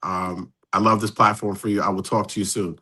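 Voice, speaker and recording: Bright-voiced man in his fifties. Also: fairly low pitch, level tone, brisk. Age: 50s. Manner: walks through numbers step by step, chatty. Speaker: guest. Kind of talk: casual live-stream chat.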